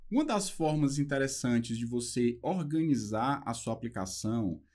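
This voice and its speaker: A young Brazilian man talks through code organization in a loose, conversational teaching voice. He uses plenty of small fillers and checks in with the listener as he goes. His pitch sits at an ordinary middle height for a man. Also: expressive, a little mellow. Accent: Brazilian Portuguese. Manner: talks loosely with fillers, slows down reading English passages. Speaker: programming instructor. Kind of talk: casual explanatory lesson, reading and translating a book.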